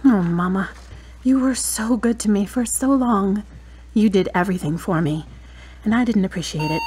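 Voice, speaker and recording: An actress playing a grieving adult daughter in an audio drama, talking tenderly and plaintively. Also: female voice, mid-range voice, moderate pace, slight background noise, close to an everyday voice. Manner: tender, plaintive, talking softly to a loved one. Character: grieving adult daughter at her mother's deathbed.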